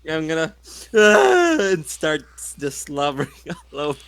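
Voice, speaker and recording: A man's voice: a little high, wildly swooping pitch, moderate pace, vocal fry, fairly bright tone, smooth. A man playing a tabletop role-playing game, chatting casually and playfully.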